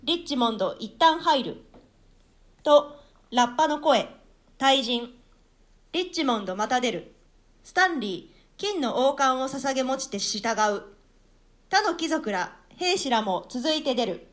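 Female narrator for stage drama: high-pitched, lively intonation, theatrical reading of stage directions. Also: unhurried, pauses often. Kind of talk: dramatic scripted narration of a classical play.

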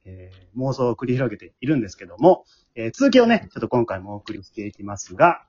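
Male podcast host, Kansai accent, lively swooping intonation, quick delivery, slightly muffled recording. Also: mid-range voice, breathy edge. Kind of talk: casual chat hosting.

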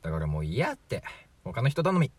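A man's voice: medium pitch, huge pitch swings, brisk, slightly rough, no room sound. A man playing a chatty, flippant 43-year-old from the future in a radio drama, glib and matter-of-fact. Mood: resigned, flippant. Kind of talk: amateur radio drama acting.

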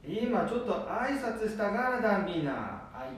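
A man's voice: sits high, big pitch swings, normal speed. A man playing a stubborn, boastful old grandpa in an amateur radio drama, grumbling in a Nanbu dialect of northern Japan. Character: stubborn, overconfident old grandpa behind the wheel. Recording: echoey room, distant from the mic.

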